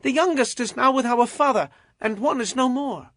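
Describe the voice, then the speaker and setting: An actor playing a humble, earnest brother, speaking deferentially in dramatized scripture. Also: male voice, very high-pitched, normal speed, a bit bright. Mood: earnest, troubled.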